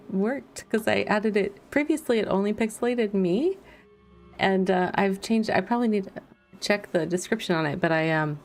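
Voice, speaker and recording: A woman livestreaming a game with casual, playful, self-deprecating commentary. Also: medium pitch. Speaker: game streamer and host. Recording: music playing behind the voice. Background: background music.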